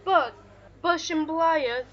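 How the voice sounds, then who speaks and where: A woman's voice: extremely high pitch, takes it slowly, fairly bright tone, highs cut off, heavily acted. A young woman acting in an audio drama as an earnest woman who is firm in her convictions. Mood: argumentative. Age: young adult. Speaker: student actor.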